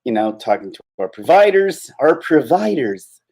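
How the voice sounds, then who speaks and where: A man hosting a solo livestream in casual chat, rambling and halting as he thinks aloud. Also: mid-range voice, big pitch swings, vocal fry, a little mellow. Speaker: livestream host.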